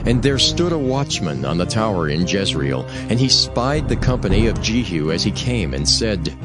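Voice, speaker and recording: A man's voice: fairly low pitch, very animated, normal speed, over loud music. A man narrating dramatized scripture as a solemn storyteller.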